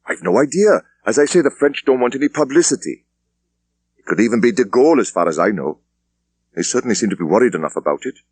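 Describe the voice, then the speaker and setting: A man's voice: medium pitch, expressive, fast, a little mellow, breathy edge, dry recording, voice pushed up. A man voicing a firm, businesslike British police superintendent with clipped speech in an audiobook. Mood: discreet, earnest.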